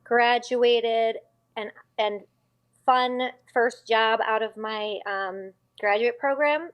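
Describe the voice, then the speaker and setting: A woman's voice: fairly high pitch, steady tone, slow pace, smooth. A woman in her fifties from Connecticut, a school psychologist chatting as a podcast guest, rambling and correcting herself as she goes. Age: 50s. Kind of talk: casual podcast interview.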